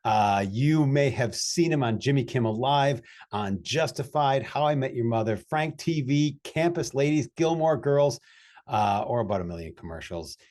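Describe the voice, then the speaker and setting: A man from Wisconsin hosting a casual chat, upbeat and animated as he introduces and talks. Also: medium pitch, moderate pace. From Wisconsin, USA. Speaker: host.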